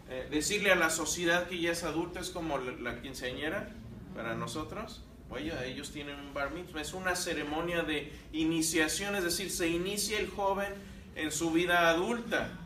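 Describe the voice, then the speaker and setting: High, bright-voiced man teaching a class. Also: roomy sound, distant mic, a little hiss or hum. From Mexico. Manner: conversational, asks the class questions. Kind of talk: church class lecture.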